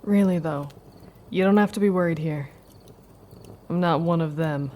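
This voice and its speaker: A woman's voice: fairly low pitch, moderate pace, warm tone, a little hiss or hum, lightly acted. An actress playing a solitary woodland witch in an audio drama, casual, wry and frank but wary. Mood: frank, probing. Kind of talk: naturalistic audio drama dialogue.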